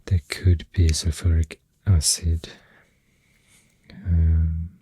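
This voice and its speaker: An ASMR creator with a Swedish accent, speaking very softly and often near a whisper, thinking aloud hesitantly into a close microphone. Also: very deep voice, little pitch movement, very slow, no room sound. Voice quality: very soft, breathy, often dropping to a whisper. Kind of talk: soft-spoken ASMR, rambling science facts.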